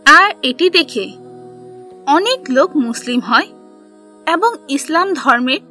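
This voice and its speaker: A woman narrating a scripted history story for children, telling it in simple, deliberate phrases. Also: sits high, lively intonation, pushed and bright, soft background music.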